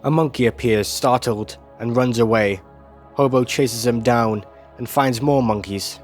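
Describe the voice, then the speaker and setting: A man's voice: mid-range voice, measured pace. A man narrating a video essay in a scripted, descriptive storytelling style. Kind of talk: scripted narration, recapping an animated series. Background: music.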